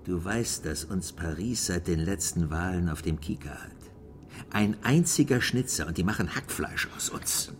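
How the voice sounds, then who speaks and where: An actor playing a defensive small-town French mayor in a radio crime drama, insistent and wary of outsiders. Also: male voice, low voice, animated, moderate pace, a little hiss or hum. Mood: urgent, threatening.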